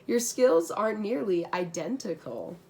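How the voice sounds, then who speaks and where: A woman's voice: mid-range voice, expressive, measured pace, a little mellow, slight background noise. A young adult woman reading a rhyming children's storybook aloud, breaking into slangy, casual, giggly asides.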